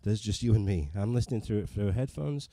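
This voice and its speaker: Mellow-voiced man chatting at a piano. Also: lowish voice, brisk, no room sound. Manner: rambling, self-deprecating, many restarts. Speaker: livestream host and pianist. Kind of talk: casual livestream chat. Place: living room, digital piano.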